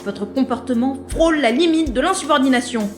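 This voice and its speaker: A disapproving woman manager, played by an actress, speaking in a fairly high voice with clear room echo. Her manner is formal, measured and exasperated, as in an audio drama scene in an office. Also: animated, soft background music. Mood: reproachful. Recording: roomy echo on the voice.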